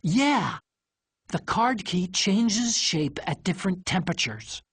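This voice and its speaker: Radio support techie: very husky, bright voice, earnest step-by-step briefing, game cutscene. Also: male voice, high voice, sing-song, slow, no room sound, heavily acted. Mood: earnest, explaining. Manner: explanatory, patient. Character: earnest, eager technician briefing an agent by radio. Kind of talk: video game cutscene acting.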